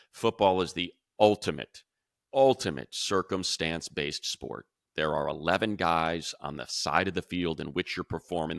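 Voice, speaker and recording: A man's voice: extremely low pitch, gravelly, a bit bright, dry recording, clean studio sound. A man hosting a casual sports podcast, explaining his analysis emphatically and repeating words to stress his points.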